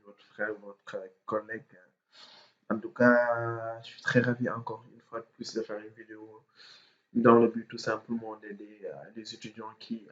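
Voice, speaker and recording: A man's voice: lowish voice, reverberant, highs cut off. A man with a cold, speaking, explaining university procedures to students in an informal, hesitant way with many fillers. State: has a cold, congested voice. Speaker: student mentor.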